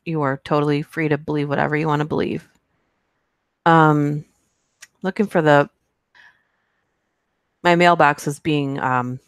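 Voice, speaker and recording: A woman's voice: low voice, no room sound, clean studio sound. A woman hosting a live stream, chatting casually with viewers, reading their comments and rambling with lots of fillers and giggles. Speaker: horror narration channel host.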